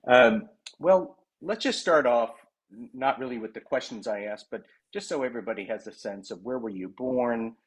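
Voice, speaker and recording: A man presenting his project and interviewing a guest over a video call, talking haltingly with many fillers and restarts. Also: medium pitch, slightly rough.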